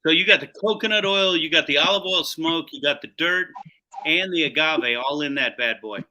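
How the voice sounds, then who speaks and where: A man's voice: on the high side, moderate pace, bright, forceful tone, poor audio. A man hosting a live cooking show, chatting casually in slang and talking up the food as he cooks.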